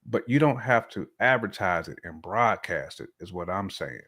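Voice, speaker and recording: A man's voice: sits low, animated, moderate pace, pushed and bright. An American man in casual podcast conversation, talking in a colloquial, slangy way with emphatic repetition.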